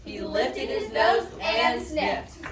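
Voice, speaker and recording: A young female narrator performing a storybook scene on stage, expressive and emphatic in her delivery. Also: a little high, slow pace, a crowd in the background. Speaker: student reader's theatre narrator. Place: competition performance room indoors. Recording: echoey room, distant mic, audience noise.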